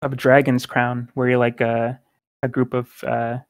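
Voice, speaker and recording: A man co-hosting a casual podcast in a relaxed way, hedging with "like" and "sorta". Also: medium pitch, a little slow, dry recording. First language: English. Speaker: podcast co-host.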